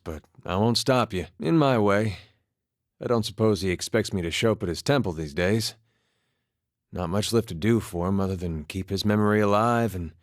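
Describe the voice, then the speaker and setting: An actor playing an easygoing, wry farmer and war veteran in a video game, talking in a folksy, understated way. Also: male voice, lowish voice, no echo, lightly acted. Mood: wry, reflective. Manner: folksy, laid-back, dry understated humor. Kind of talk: conversational video game character dialogue.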